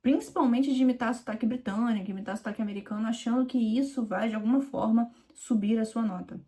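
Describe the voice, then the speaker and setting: A woman's voice: a little high, fast talker, mellow. A young adult woman from Rio de Janeiro with a Carioca accent, coaching viewers in a direct, conversational teaching video, emphatic and repetitive. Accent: Carioca Brazilian Portuguese. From Rio de Janeiro, Brazil. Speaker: IELTS speaking mentor.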